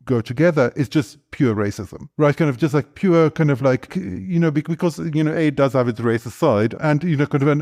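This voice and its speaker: A man discussing, in a halting casual way, with many "you know" and "kind of" fillers. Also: mid-range voice, darkish tone.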